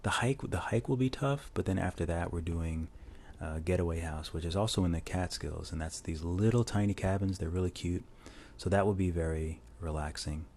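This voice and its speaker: A man narrating a drawing tutorial as a casual voice-over, talking in a relaxed, rambling way with many restarts, recorded on a lavalier mic plugged into a phone. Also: moderate pace, few pauses. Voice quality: low voice.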